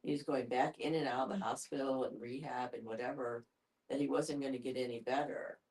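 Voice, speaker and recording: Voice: medium pitch, steady tone, a little fast, a little mellow. An older psychologist thinking aloud in a reflective group discussion, hedging along the way. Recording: distant microphone.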